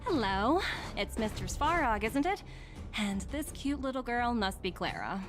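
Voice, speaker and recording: Confident corporate emissary, light music behind. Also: female voice, a little high, big pitch swings, unhurried. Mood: playful, smug. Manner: poised, persuasive, businesslike. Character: confident, smooth-talking young female corporate emissary.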